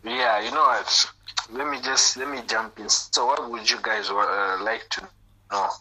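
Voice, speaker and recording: A young man, a guest in a casual group chat, jokey and rambling, a little hoarse. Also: medium pitch, normal speed, sharp tone, low-quality recording. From Zambia. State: hoarse, coughing. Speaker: podcast guest.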